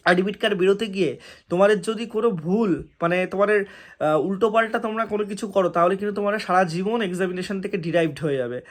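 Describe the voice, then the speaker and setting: A man with a West Bengal Bengali accent speaking as an online exam-coaching host, giving informal advice to students in an earnest, cautioning, emphatic way. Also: sits high. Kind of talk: informal advisory talk to students.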